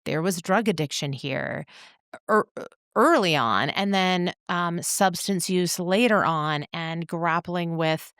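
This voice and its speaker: A woman co-hosting a podcast, talking reflectively in an earnest, deliberate way with emphatic phrasing and pauses. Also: on the low side, fairly bright tone, clean tone, dry recording, studio quality. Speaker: podcast co-host.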